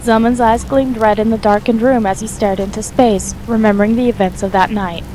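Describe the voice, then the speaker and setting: A woman's voice: on the high side, steady tone, fairly quick, warm tone, slight background noise, poor audio. A woman reading scripted third-person story narration as the narrator of an audio drama.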